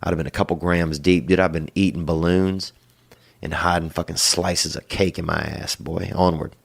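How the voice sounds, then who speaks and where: Deep-voiced drawling man giving advice. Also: steady tone, normal speed, vocal fry, breathy edge. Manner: drawling, loose and crude, tosses in "boy" and "you know". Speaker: podcast host giving advice. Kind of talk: casual rambling advice.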